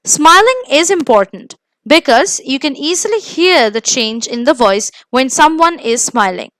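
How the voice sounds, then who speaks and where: Indian lady teaching from a script, high voice that goes up and down a lot, careful emphatic wording. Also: animated, measured pace, fairly bright tone, no room sound. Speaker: host of an exam coaching video. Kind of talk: scripted instructional presentation.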